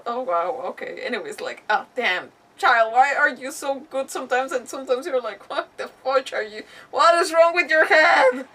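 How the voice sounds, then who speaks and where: A woman's voice: sits high, a bit bright, recorded from a distance. A woman game streamer giving casual live commentary, thinking aloud with exclamations and mild swearing.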